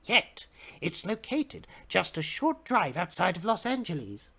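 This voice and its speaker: A man giving a theatrical, expressive dramatic reading of fiction as the narrator. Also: high-pitched, a little slow, gravelly, husky. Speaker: dramatic reader.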